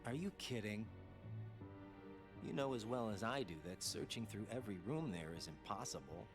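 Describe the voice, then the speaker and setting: A man's voice: on the low side, animated, moderate pace. Game role: easygoing wry young man, loyal buddy type, score audible behind. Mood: wry, exasperated. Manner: casual, wry. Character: wry, laid-back young man, teasing loyal friend. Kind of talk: English video game dub acting. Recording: game music playing behind.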